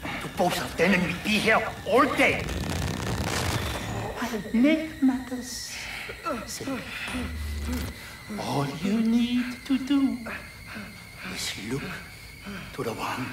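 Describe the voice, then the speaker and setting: An elderly German-accented villain scientist, savoring each word with theatrical courtesy and cruel glee. His slow, widely swinging delivery echoes in a chamber under loud game music. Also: sits high, sing-song, recorded from a distance, heavily acted. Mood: coolly sadistic. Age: older. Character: gleefully sadistic old German scientist general. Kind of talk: video game villain monologue.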